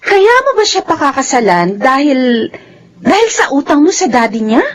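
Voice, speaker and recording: A woman playing a shrill, tearful young cousin. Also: big pitch swings, normal speed. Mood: upset. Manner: shrill and tearful, stammering in disbelief. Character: Wilson's young female cousin, excitable and worried. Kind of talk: radio drama acting.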